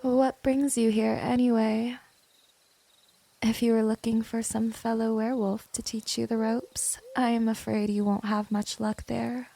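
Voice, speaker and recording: A woman's voice: a little high, flat delivery, mellow. A woman playing a teasing, domineering vampire in an ASMR roleplay, talking straight to the listener in a condescending, seductive way. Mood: calm, condescending. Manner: slow, condescending, seductive, breathy drops into whisper. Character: smooth, teasing, domineering female vampire, gently predatory.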